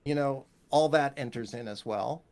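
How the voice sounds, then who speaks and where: A man's voice: mid-range voice, moderate pace, darkish tone. A man speaking as a composer being interviewed, casual and enthusiastic, rambling and often checking in with "right?". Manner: enthusiastic, rambling, punctuated with "you know" and "right?". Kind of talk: casual interview conversation about music.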